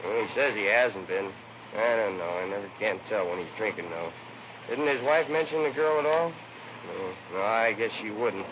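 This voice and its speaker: A man's voice: lowish voice, lively intonation, moderate pace, natural voice. An actor playing a plain, talkative but cagey working-man shop owner in a 1950s radio drama, speaking in casual clipped sentences. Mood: cagey, gossipy. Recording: noisy, dull vintage radio recording.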